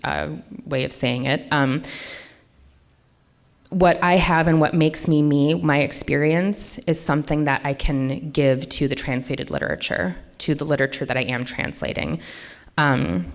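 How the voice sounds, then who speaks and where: A woman with a Minnesotan accent speaks in a low-pitched voice as she gives an informal lecture. She talks in a chatty way, often pausing on "um" and restarting her sentences. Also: steady tone, creaky. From United States. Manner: chatty, frequent ums and restarts.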